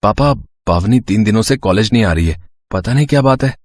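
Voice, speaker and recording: A man's voice: lowish voice, animated, fairly quick, dry recording. A man voicing a friendly, earnest college student in an audio story, boyish and a little hesitant. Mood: worried. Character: friendly, earnest young male college student, rich builder's son.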